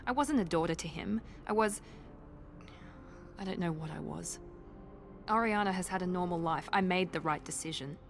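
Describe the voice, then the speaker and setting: A woman's voice: on the low side, normal speed, pauses often, soft background music, close to an everyday voice. An actress voicing a proud, guarded woman who is fiercely protective of her sister, speaking with controlled intensity in a video game. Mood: guarded, confiding.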